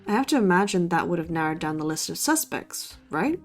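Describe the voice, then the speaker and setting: An actress playing a skeptical, dry-witted college student co-hosting a true-crime podcast in an audio drama. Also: female voice, fairly low pitch, big pitch swings, a little fast, soft background music. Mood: skeptical, wry. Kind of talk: conversational audio drama acting as podcast co-host.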